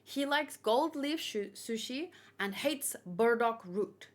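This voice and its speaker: A woman doing casual livestream commentary, reading aloud and reacting in a chatty, expressive way. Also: on the high side, slow pace. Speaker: manga read-along streamer.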